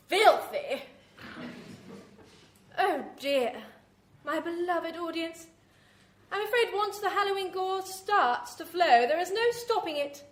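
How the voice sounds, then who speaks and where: An actor playing a prim, arch British horror hostess, theatrically addressing listeners with macabre mock sympathy in a classic radio show. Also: female voice, very high-pitched. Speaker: host. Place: theatre venue in front of an audience. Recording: roomy live stage recording.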